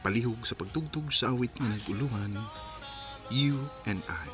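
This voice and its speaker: A man acting a letter sender who introduces his own story on radio drama, measured and formal, with a Cebuano accent. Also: medium pitch, lively intonation, close to an everyday voice. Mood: solemn, polite. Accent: Cebuano Filipino. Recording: voice under prominent music.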